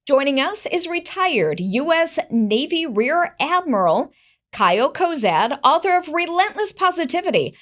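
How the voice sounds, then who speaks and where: A woman's voice: sits high, big pitch swings, measured pace, a bit bright. A woman hosting a television health interview, introducing a guest and asking questions. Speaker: host.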